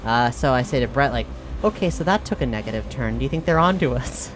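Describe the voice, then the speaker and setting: A woman's voice: a bass voice, expressive, fast. A woman playing a tabletop game, chatting casually and a little tentatively in and out of character. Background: soft music.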